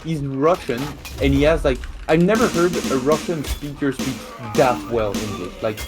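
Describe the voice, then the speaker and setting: A French-speaking man from Quebec with a Québécois accent, chatting casually about games in a halting way, full of fillers and restarts. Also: mid-range voice, music in the background. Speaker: speedrun verifier. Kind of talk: casual gaming chat.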